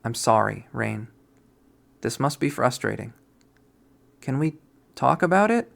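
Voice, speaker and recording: A man's voice: medium pitch, very animated, measured pace, gravelly, fairly bright tone, heavily acted. A man voicing a meek, frightened man who stammers and pleads, reading a visual novel aloud. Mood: pleading.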